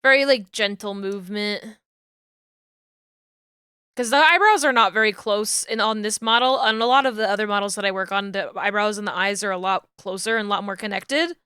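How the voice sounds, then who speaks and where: A woman on a live stream thinking aloud as she explains her rigging steps to chat, her voice going hoarse. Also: medium pitch, a little fast, sharp tone, no room sound, clean studio sound. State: losing her voice. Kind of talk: casual live-stream talk while working.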